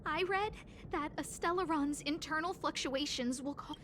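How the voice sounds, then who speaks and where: A woman's voice: high voice, moderate pace, a bit bright, a little hiss or hum. A woman playing an earnest, anxious, caring young woman in a video game, hesitant and thinking aloud. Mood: concerned.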